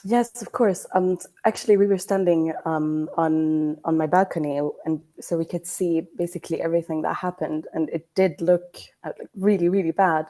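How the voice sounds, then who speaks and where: A young adult woman journalist from Iraq who grew up in Sweden, speaking in a measured, thoughtful way with frequent pauses on a podcast. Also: lowish voice, steady tone, warm tone. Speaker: journalist guest. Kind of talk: casual podcast chat.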